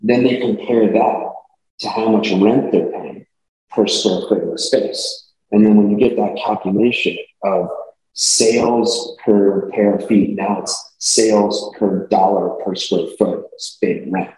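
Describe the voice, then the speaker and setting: A businesslike man with a deep, low voice, talking his way through explanations as an interview guest. His voice carries a roomy echo around it. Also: fairly even intonation, unhurried, darkish tone. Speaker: company executive, guest. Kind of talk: casual interview answers.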